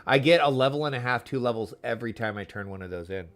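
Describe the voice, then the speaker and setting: A man's voice: medium pitch, lively intonation, quick pace, a bit bright. A man chatting casually on a livestream, commenting on the game he plays and talking to his viewers. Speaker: livestreamer playing an online game.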